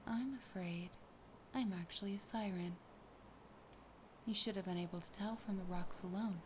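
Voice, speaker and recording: A woman voicing a sassy, possessive, lonely siren in a soft, breathy, often whispered ASMR roleplay, slow, teasing and intimate. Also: mid-range voice, noisy background, lightly acted. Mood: smug, possessive. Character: possessive, sassy, lonely female siren toying with her catch.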